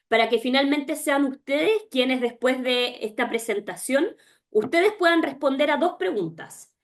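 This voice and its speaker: Woman, Chilean accent, slightly high voice, webinar presenter, video call, engaged, rhetorical questions. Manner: engaged, asks rhetorical questions, often tags "¿ya?". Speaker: webinar speaker.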